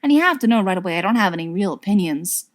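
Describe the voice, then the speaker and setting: A woman's voice: medium pitch, lively intonation, fast talker, close to an everyday voice. A woman voicing a nervous young man in an audiobook, meek, hesitant and evasive. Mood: nervous, defensive.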